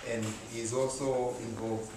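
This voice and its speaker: A man moderating a panel discussion, speaking slowly and measuredly with many hesitant pauses. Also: medium pitch, flat delivery. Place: festival venue indoors. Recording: echoey room, far from mic, loud noise.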